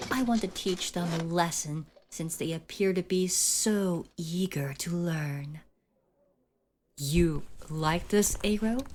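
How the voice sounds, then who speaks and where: Woman doing a cold bossy school bully girl for asmr, lowish voice, real slow and condescending. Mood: taunting, contemptuous. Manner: slow, formal, condescending, taunting. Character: haughty, sadistic female school bully, coolly commanding. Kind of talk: ASMR roleplay acting.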